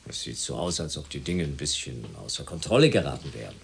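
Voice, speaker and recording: An actor playing a male disco owner who speaks in a low, curt and guarded way, with a gravelly voice. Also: expressive, fast, slight background noise, exaggerated voice. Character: hard-bitten male disco owner in trouble. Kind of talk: radio drama acting.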